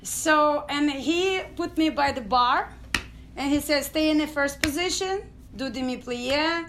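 High-voiced Ukrainian woman telling her story. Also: background noise. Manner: halting non-native English with many fillers. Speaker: guest, dance company founder. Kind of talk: storytelling interview.

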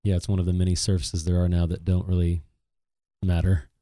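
A man in his thirties joins in as a podcast co-host, relaxed and laughing easily. His voice is very low and warm, and it sounds dry and close, with no room around it. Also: brisk, no room sound. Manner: easygoing, laughs often. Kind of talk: casual chat.

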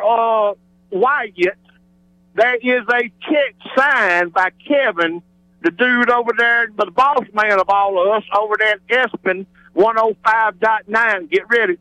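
High, bright-voiced Southern man calling in. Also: clean tone, no echo. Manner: exuberant, digressive, folksy showman. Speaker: regular radio phone-in caller. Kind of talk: rambling, folksy radio call-in monologue. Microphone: telephone. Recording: radio broadcast phone call.

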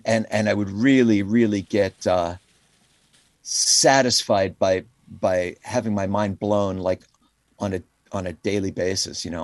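A man's voice: lowish voice, no echo. Middle-aged male musician, interview guest: casual, rambling, enthusiastic, dry close sound. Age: middle-aged or older. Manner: rambling, enthusiastic, frequent "you know" and "like". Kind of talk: casual, reflective interview conversation. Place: calling in remotely to a podcast.

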